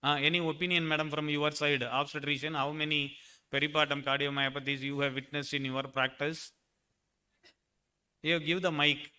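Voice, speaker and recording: A man's voice: a little high, level tone, normal speed, bright, forceful tone, smooth. A man moderates a medical conference panel, firing questions at the panelists and slipping in wry jokes.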